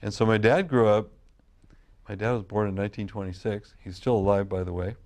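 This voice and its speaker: A middle-aged Californian man speaks in a fairly low register as an interview guest. He rambles through long stories about farming and business and often restarts his sentences mid-thought. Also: fairly quick, a little mellow. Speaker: guest, wine grape grower and broker. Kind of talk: casual interview storytelling.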